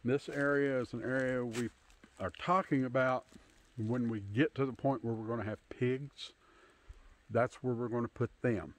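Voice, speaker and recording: Guy in his 50s doing a casual walk-around tour outside, average pitch, takes his time, lots of pauses. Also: fairly even intonation, a little hiss or hum. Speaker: homesteader hosting a channel. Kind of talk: casual unscripted tour narration. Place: outdoors in bare winter woods. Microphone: handheld phone at arm's length.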